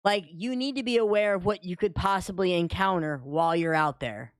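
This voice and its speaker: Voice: mid-range voice, a little fast, clear, resonant tone, no room sound. A speaker chatting casually in slangy language, swearing and often checking for agreement. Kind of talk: casual chat.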